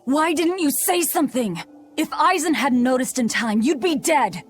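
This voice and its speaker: A woman's voice: high-pitched, animated, normal speed, slight background noise. An actress playing a stern, hardened young demon woman in a video game, speaking in a blunt, cold, dismissive way. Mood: angry, upset. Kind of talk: English video game voice acting.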